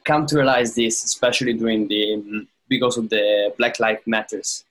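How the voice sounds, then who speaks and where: A man's voice: on the low side, fairly even intonation, moderate pace, poor audio. A man in casual conversation, speaking thoughtfully and acting out the remarks he quotes. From lives in London. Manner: reflective, acts out quoted remarks.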